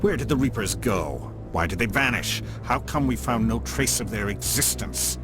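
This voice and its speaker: An actor playing a stern, formal alien councillor in a video game, speaking with dismissive authority. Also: male voice, lowish voice, expressive, normal speed, close to an everyday voice. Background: music.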